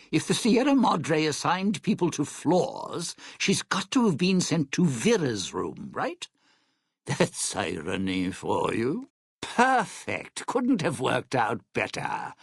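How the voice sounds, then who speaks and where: An actor voicing a scheming, bitter, sardonic crook in a video game, with a sly, theatrical delivery. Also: male voice, mid-range voice, vocal fry, slightly rough, dry recording, studio quality, exaggerated voice. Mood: suspicious, irritated. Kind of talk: video game character dialogue.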